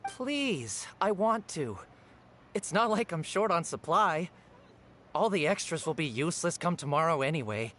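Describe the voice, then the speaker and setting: A man's voice: fairly high pitch, big pitch swings, moderate pace, fairly bright tone. A man voicing an eager, humble young newspaper seller in a video game, polite, apologetic and flustered. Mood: humble, insistent. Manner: polite, flustered, self-effacing. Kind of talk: video game character dialogue.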